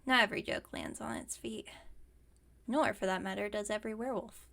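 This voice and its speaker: A woman voicing a caring, wry young werewolf roommate in a casual, teasing, gently bossy ASMR roleplay monologue. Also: medium pitch, a little fast, gravelly.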